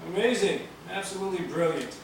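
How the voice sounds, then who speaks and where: A man's voice: high voice, lively intonation, fairly quick. A man playing a pompous, ruthless corporate leader in a stage play, speaking in a grandiose, commanding way. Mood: approving. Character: pompous, ruthless male corporate leader plotting against rebels. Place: theatre stage, picked up from a distance. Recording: echoey, noisy stage videotape from far off.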